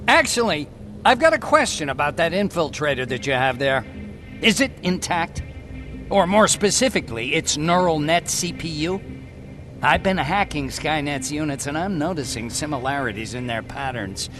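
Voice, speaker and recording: An actor playing an eccentric, talkative scientist and hacker in a video game cutscene, eager, blunt and given to explaining. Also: male voice, on the high side, animated, moderate pace, a bit bright, faint music behind. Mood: urgent, conspiratorial.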